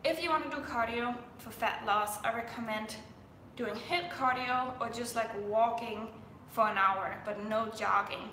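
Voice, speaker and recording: A woman's voice: on the high side, measured pace, bright, forceful tone, roomy sound, slight background noise, rough recording. A young woman from Denmark, a fitness coach chatting on a live stream, full of fillers and restarts.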